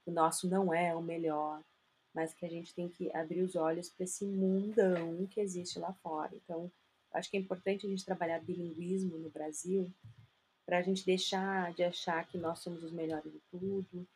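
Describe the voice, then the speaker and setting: A woman's voice: lowish voice, little pitch movement, moderate pace, darkish tone, a little hiss or hum, rough recording. A woman from Brazil, a guest explaining bilingual education earnestly in a casual interview, with frequent "né?" tags. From southern Brazil.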